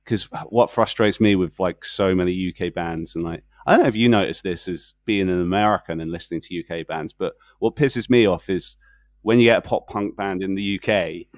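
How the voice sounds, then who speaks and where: Male podcast host, lowish voice, dry close sound, relaxed rambling chat. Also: fairly quick, dry recording. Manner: relaxed, rambling, self-aware about tangents.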